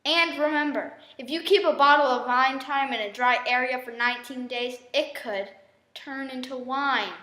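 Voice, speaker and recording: A woman's voice: high-pitched, normal speed, bright, forceful tone. A woman playing a perky big brother in a 1920s radio commercial skit, in an echoey room.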